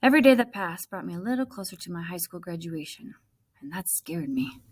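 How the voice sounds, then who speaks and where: Husky-voiced woman reading a story aloud. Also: lowish voice, animated, a little fast, darkish tone. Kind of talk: expressive storybook narration.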